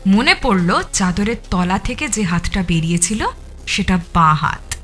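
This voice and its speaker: An actress playing a cold, scheming murderous wife in an audio thriller, composed, menacing and contemptuous. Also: female voice, mid-range voice, animated, unhurried, fairly bright tone, faint music behind. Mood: calm, calculating. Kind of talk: dramatised audio thriller acting.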